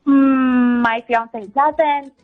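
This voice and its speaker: A woman's voice: high-pitched, steady tone, very slow, narrow, tinny audio, soft background music. A young American woman calling a radio show, explaining herself casually and hesitantly with lots of filler words. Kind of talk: casual conversation.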